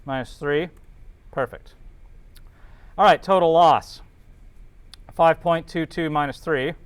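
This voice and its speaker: A man's voice: a little high, takes it slowly, smooth. A man teaching an informal class, thinking aloud as they work through calculations and checking in with students.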